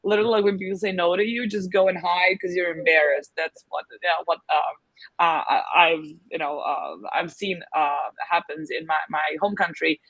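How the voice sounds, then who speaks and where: A woman's voice: fairly low pitch, steady tone, moderate pace, fairly bright tone, no echo, poor audio. A woman from Serbia, a guest in a casual interview, talking with many fillers as she thinks aloud. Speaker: agency founder, guest.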